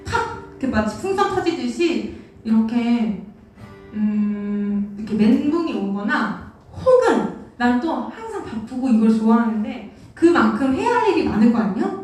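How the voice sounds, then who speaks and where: A woman's voice: a little high, sing-song, normal speed, darkish tone. A young woman leading youth church worship in a casual, playful, self-deprecating way, with a sore throat. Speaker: youth church praise leader and teacher. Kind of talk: casual talk to teenagers between worship songs. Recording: very echoey room, distant mic, faint music.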